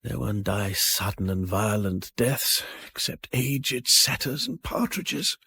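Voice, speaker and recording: An actor playing an aristocratic English gentleman sleuth in a radio drama, with an upper-class English accent and a musing, genteel manner. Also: fairly low pitch, expressive, takes it slowly, husky, no echo, heavily acted. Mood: wistful musing. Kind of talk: BBC radio drama acting with interior monologue.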